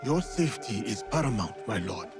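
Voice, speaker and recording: Lowish-voiced, loyal feudal retainer. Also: male voice, sing-song, brisk. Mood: sober, concerned. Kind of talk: cinematic video game acting. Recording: clear music behind the voice. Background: clear background music.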